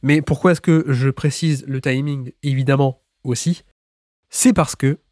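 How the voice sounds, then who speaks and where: Enrhumed French podcast host, dry sound. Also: male voice, medium pitch, dark tone, dry recording. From France. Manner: chatty, many restarts and little laughs. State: has a cold. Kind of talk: solo podcast introduction.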